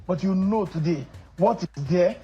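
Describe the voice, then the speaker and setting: A man's voice: high voice, a little slow, muffled recording, faint music behind. A middle-aged man from northern Nigeria with a Nigerian accent, a political guest in a heated interview who insists on his point and often repeats words as he presses it.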